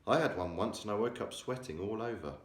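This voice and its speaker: A man's voice: fairly low pitch, lively intonation, brisk, echoey room, natural voice. A man voicing a curious, plucky little girl who asks questions.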